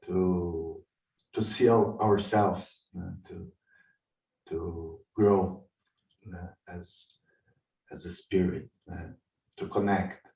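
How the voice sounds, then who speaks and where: A man from Brazil with a Brazilian Portuguese accent, a guest on a video call, speaking unscripted with many halting pauses as he searches for words in English. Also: low voice, steady tone, creaky, mellow. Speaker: guest sharing about his spiritual tradition. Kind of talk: unscripted personal talk. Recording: roomy and distant from the mic.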